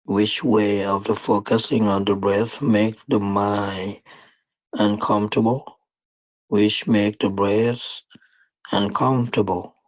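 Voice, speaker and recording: Slow, low-voiced man guiding meditation. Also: flat delivery, no room sound, poor audio. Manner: deliberate, halting phrasing with long pauses. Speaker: meditation teacher. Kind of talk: guided meditation dharma talk.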